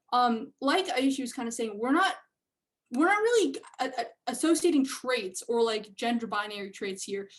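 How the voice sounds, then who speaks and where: Woman on a panel, fairly high voice, thoughtful and well spoken. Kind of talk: casual panel discussion.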